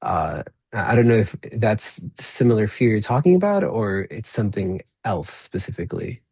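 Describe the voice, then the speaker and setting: Low-voiced man hosting a podcast, reflective. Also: very animated, moderate pace, darkish tone, breathy edge, no echo. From Peru. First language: Spanish. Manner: reflective, paraphrases back, frequent restarts. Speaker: podcast host. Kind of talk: conversational interview.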